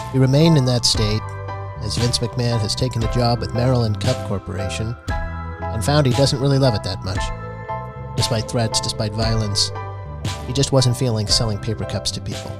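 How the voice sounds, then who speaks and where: A male podcast host leading a mock public-radio style interview in a dry, deadpan manner. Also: mid-range voice.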